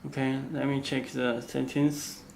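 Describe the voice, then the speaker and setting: A man who is not a native English speaker, giving an unscripted product walkthrough in halting, simple phrases with frequent pauses. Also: medium pitch, level tone, gravelly, a little mellow. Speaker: product demonstrator. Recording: faint background noise.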